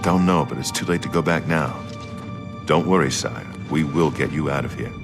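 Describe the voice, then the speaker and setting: A man's voice: extremely low pitch, moderate pace, over music. A man playing a tough, loyal imperial guard in a fantasy video game, terse and businesslike. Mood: firm.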